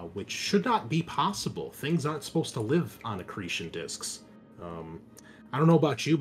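A man game master recapping a tabletop session in a casual, conversational way, halting at times and leaning on descriptive words. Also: fairly low pitch. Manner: casual, sweary, conversational asides. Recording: clear background noise, room ambience.

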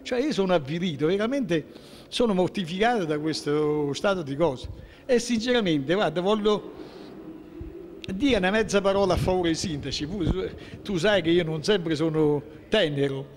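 A man in his sixties with a southern Lazio Italian accent, speaking emphatically and with grievance in an impromptu interview, halting and restarting. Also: high-pitched, animated, in a large hall, slight background noise. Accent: central-southern Italian, southern Lazio. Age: 60s. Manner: emphatic, aggrieved, halting with repeated rhetorical questions. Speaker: interviewee, local political figure. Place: indoor hallway of a public building. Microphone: handheld interview microphone held toward him.

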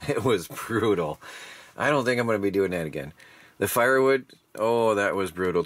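A man with a fairly low voice talks his way around a yard and workshop, plain-spoken and rambling. He sounds worn out from the day's work, picked up by the camera's own mic. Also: a bit bright, no echo. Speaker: homestead DIY vlogger. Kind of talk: casual walk-through narration. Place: outdoors in a yard, then a workshop.

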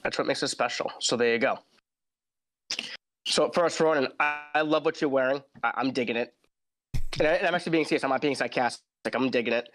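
A man's voice: mid-range voice, fast talker, a bit bright. A man co-hosting a podcast over a video call, playful and cocky, with a slightly scratchy voice. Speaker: podcast co-host.

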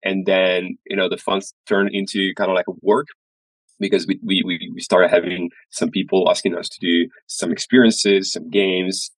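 Man, young adult, podcast guest, hesitant, very deep, dry sound. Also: flat delivery, normal speed, no echo, low-quality recording. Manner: hesitant, many uh fillers and repeats. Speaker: guest, studio founder. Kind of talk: casual interview. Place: small room indoors, video call. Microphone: headset.

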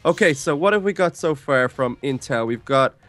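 A man's voice: medium pitch, expressive, normal speed, a bit bright, faint music behind. A man giving playful improvised roleplay commentary in a gaming video, narrating the action and repeating short commands.

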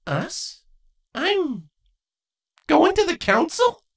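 A woman voicing a bookish, excitable young female changeling princess in a storybook reading, earnest and easily flustered. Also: very high-pitched, very slow, vocal fry, voice pushed up, heavily acted. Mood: surprised.

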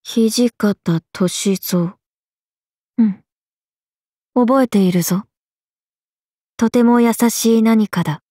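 A woman voicing an earnest, airheaded, stoic young swordswoman in an anime game, speaking in a plain deadpan way. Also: fairly high pitch, very slow, long pauses, dry recording, studio quality. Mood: gentle, vague. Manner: deadpan, plain, slightly blunt. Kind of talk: anime game character voice acting.

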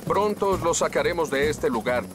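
A man's voice: a little high, animated, normal speed, over music, lightly acted. A man playing a brave, reassuring firefighter in a cartoon dub, speaking assuredly with a Latin American Spanish accent. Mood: calm, decisive. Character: brave, reassuring adult male firefighter hero.

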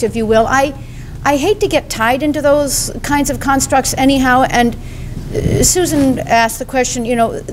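A woman panelist at an academic conference, answering thoughtfully with halting restarts. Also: fairly high pitch, a little hiss or hum, low-quality recording. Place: hotel conference room.

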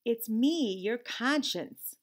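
A woman's voice: a little high, lively intonation. Woman voicing a bossy, scolding little conscience. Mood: self-important. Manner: interrogating, drawn-out mock suspicion.

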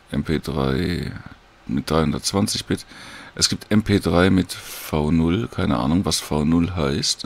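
A man casually and hesitantly talks viewers through a screen recording in an informal, unhurried tutorial. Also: extremely low pitch, vocal fry. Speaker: audiobook creator giving a download tutorial.

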